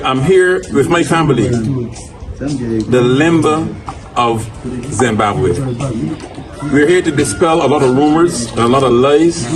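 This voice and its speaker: A man introducing a gathering on location in an upbeat, enthusiastic way. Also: mid-range voice. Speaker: video presenter. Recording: distant mic with crowd.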